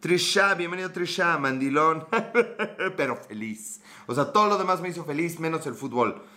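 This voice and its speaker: Brief: young Mexican man, bright forceful tone, slangy jokey streamer, laughs a lot. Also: a little high, animated, moderate pace. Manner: joking, crude slang, laughs often. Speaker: livestream host. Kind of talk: casual livestream chat reading viewer comments.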